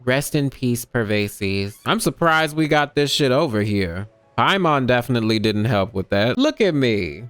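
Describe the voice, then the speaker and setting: Female streamer: very deep, smooth voice, unhurried casual commentary with a dry manner. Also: animated, dry recording, faint music behind. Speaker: gaming streamer. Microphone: close dry microphone.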